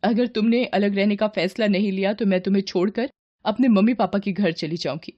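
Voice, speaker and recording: A woman's voice: mid-range voice, a little fast, dark tone, lo-fi sound, lightly acted. A woman voicing a spiteful, complaining young wife in a melodramatic cartoon story, indignant and insistent. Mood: demanding.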